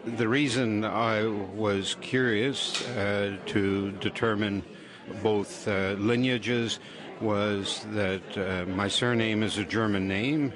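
Low-voiced older man. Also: steady tone, slow pace. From Croatia. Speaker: survey participant. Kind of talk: personal account in an interview. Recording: people chattering in the background. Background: crowd chatter.

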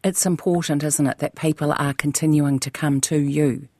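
Polite, measured radio interviewer. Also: mid-range voice, steady tone, darkish tone, no room sound.

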